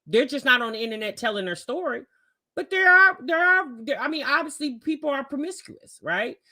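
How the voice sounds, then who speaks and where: A woman's voice: sits high, animated, a little fast, bright, forceful tone. A woman giving casual, opinionated commentary as a reaction host, talking emphatically and colloquially with frequent rhetorical questions.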